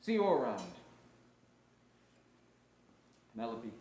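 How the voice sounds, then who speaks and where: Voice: mid-range voice, big pitch swings, very fast, mellow, natural voice. A performer acting a worn-out, lovesick male gumshoe, earnest and dramatic in a hardboiled noir stage play. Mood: weary, wistful. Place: indoor stage, heavy room echo. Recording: very echoey stage recording.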